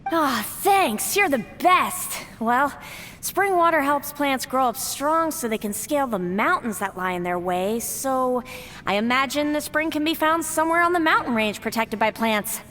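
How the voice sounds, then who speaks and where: A woman's voice: high-pitched, lively intonation, moderate pace, fairly bright tone, big-room sound, a little hiss or hum. A woman voicing a cheerful female talking flower that sings, theatrical and friendly, in a video game. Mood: sing-song. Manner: sing-song, theatrical and friendly.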